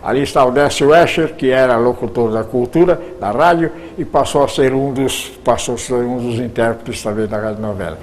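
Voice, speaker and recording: Older man reminiscing, halting restarts. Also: mid-range voice, slight background noise. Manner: halting, many repeated words and restarts. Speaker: retired radio producer. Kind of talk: reminiscing in an interview.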